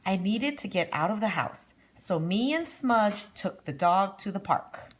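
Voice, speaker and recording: A woman's voice: medium pitch, animated, a little slow, close to an everyday voice. A woman voicing a weary, down-on-his-luck father in a storybook read-aloud, plain-spoken and downbeat. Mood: weary, wistful.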